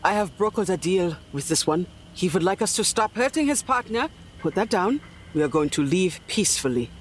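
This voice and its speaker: Man playing a courteous, lively German negotiator. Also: high voice, brisk. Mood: firm, urgent. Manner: formal, courteous, sprinkles in German words. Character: polite, earnest German negotiator facing trolls. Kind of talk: in-character tabletop roleplay. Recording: background ambient noise.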